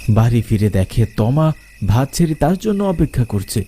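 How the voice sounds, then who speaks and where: A man's voice: medium pitch, sing-song, normal speed, dark tone, slight background noise. A man narrating a scripted horror story in a dramatic storytelling style.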